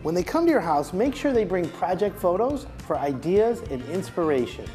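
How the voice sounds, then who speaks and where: A man's voice: big pitch swings, normal speed, dark tone, faint music behind. Upbeat man demoing home products. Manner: upbeat, pitching and pointing at samples. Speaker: home improvement expert guest. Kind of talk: product demo on a TV talk show. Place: TV studio set.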